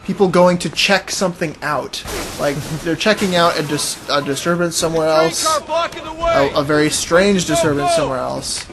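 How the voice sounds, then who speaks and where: A man's voice: a little high, big pitch swings, moderate pace, a loud crowd. A man co-hosting a gaming podcast, casually musing and critiquing as he plays. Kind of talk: casual chat over co-op gameplay. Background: game music and action sounds.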